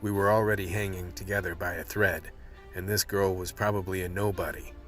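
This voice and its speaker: A hard-boiled, world-weary crew leader in a noir radio play. His voice sits low and his delivery is blunt and terse, with faint music underneath. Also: steady tone, a little fast, fairly bright tone. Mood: grim narrating. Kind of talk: radio drama acting with noir narration.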